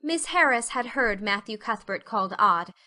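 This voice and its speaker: Female narrator, scripted audiobook reading, fairly high pitch, crisp bright tone. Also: lively intonation, normal speed.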